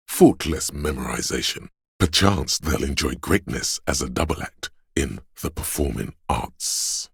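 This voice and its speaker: A very deep, gravelly theatrical courtier. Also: male voice, no echo, clean studio sound, exaggerated voice. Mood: grandly admiring. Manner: theatrical, courtly, archly dramatic. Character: flamboyant, sly male inner voice of drama, servile courtier. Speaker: game voice actor. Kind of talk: theatrical video game character lines.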